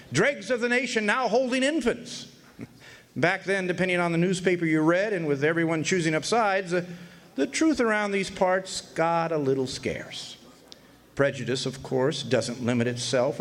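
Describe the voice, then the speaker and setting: An actor playing a wry, folksy older man who narrates his Arizona orphan boyhood in a radio drama. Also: sits high. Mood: wry, theatrical. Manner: folksy, wry, drawn-out storytelling. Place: live stage performance in a hotel.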